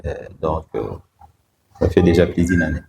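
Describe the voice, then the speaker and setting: A very low-voiced man answers interview questions slowly, pausing often on fillers. His voice rings in an echoey room with a faint hiss of background noise. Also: little pitch movement. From Democratic Republic of the Congo. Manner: measured, hesitant, frequent "euh" fillers. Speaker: guest, provincial road-safety director.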